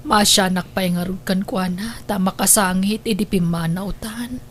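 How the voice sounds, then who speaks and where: A woman with an Ilocano accent acting a gentle, devoted, sorrowful mother in a radio drama, with emotive delivery. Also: mid-range voice, fairly even intonation, normal speed, slight background noise, natural voice. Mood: gentle pleading.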